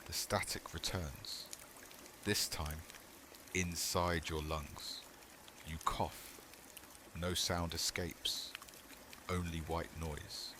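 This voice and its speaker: Male horror ASMR narrator, low register, unhurried and hushed, short phrases with long pauses, over clearly audible static. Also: little pitch movement. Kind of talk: scripted second-person horror narration, ASMR.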